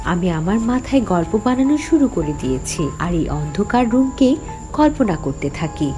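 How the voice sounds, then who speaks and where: A woman's voice: on the low side, very animated, normal speed, over music. A woman playing a cunning, defiant young heroine who tells her horror story in an emphatic, theatrical way. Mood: tense narration. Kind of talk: dramatic first-person horror story narration.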